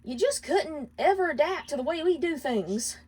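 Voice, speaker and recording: A woman's voice: high-pitched, lively intonation, moderate pace, a little mellow, voice pushed up. A woman voicing a hot-tempered, defensive female imp wife who scolds in a dramatic story reading. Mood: angry, dismissive. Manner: snappy, dismissive.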